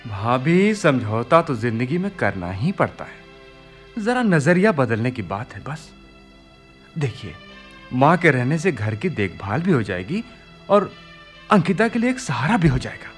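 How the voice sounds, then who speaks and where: An actor playing a practical, persuasive younger brother in a family radio play, reasoning and coaxing. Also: male voice, medium pitch, very animated, measured pace, soft background music. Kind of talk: Hindi radio play acting.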